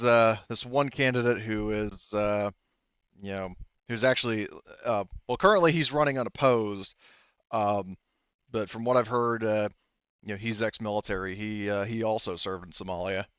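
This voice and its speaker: A man improvising narration as a tabletop game master, rambling and haltingly correcting himself as he goes. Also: on the low side, fairly bright tone, smooth, no echo, highs cut off. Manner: rambling, halting, self-correcting, full of "you know".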